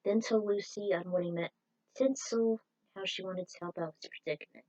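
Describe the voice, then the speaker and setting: A woman's voice: medium pitch, fairly quick, warm tone, no room sound, highs cut off. A woman reading a fan fiction story aloud in an informal way, breaking off for her own opinionated asides.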